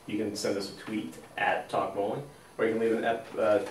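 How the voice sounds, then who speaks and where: A fairly low-voiced man co-hosts a casual show and moves briskly between topics. He was recorded on a lapel mic in a small studio. The sound has a roomy echo and slight distance, with a faint hiss underneath. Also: little pitch movement. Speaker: co-host of a bowling advice show. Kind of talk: casual show hosting and chat. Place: indoors, small studio against a plain backdrop.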